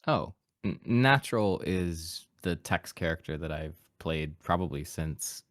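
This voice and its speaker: A man's voice: deep voice, animated, measured pace, a bit bright, no room sound, studio quality. A man with a mid-Atlantic American accent, a podcast guest and voice actor from Appalachia, talking casually and reflectively in an interview, with easy self-deprecating laughs. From Appalachian region of the United States.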